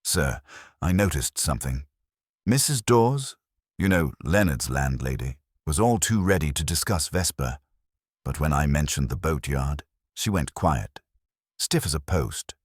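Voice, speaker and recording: A man's voice: rumbling low, animated, measured pace, husky, no room sound, studio quality, exaggerated voice. A man voicing a flustered, eager and deferential police constable in an audio mystery story.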